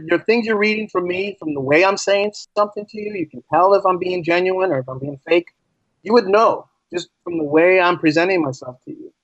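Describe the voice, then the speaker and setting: East coast us guy, kinda high voice, explaining stuff casually as a podcast guest, dry slightly dull audio. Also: husky, no echo. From United States, East Coast. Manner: animated explainer, checks in with rhetorical questions. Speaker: podcast guest, English as a second language teacher. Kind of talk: casual interview conversation.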